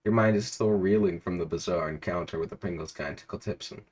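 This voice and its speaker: A man with a fairly low voice reads absurd interactive fiction aloud. He tells it theatrically, leaning on the words with emphasis like a storyteller. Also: fast, darkish tone, breathy edge.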